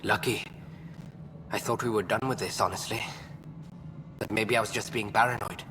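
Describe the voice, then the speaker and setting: A man playing a wary, halting station technician in a video game. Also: fairly low pitch, steady tone, natural voice. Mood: weary. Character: wary male station technician, paranoid about sabotage. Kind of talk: video game character dialogue. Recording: reverberant hall with noisy ambience.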